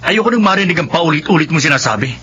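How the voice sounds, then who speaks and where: A man's voice: a little high, lively intonation, rapid-fire, slight background noise. A man acting as a tired, unfaithful middle-aged husband in a radio drama, curt and worn out. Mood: weary. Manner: tired, curt, later gentle. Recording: muffled radio broadcast audio.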